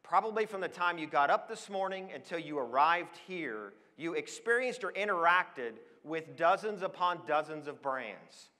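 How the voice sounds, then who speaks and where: Bright, forceful American man in a reverberant hall. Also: on the high side, expressive, normal speed, hardly any pauses. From Switzerland, then Oregon. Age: 40s. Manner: emphatic, enthusiastic, addresses listeners with questions. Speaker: conference speaker, advertising professional. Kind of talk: motivational business presentation to an audience. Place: on stage in a large hall. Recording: long echo of a large hall.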